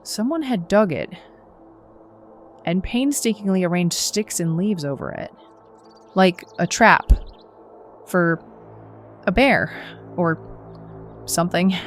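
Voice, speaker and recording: A woman's voice: lowish voice, lively intonation, slow pace, pauses often, faint music behind. A woman playing a young, determined but anxious runner in a horror audio drama, earnest and suspenseful as she acts and narrates. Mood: tense narration. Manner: earnest, suspenseful first-person storytelling.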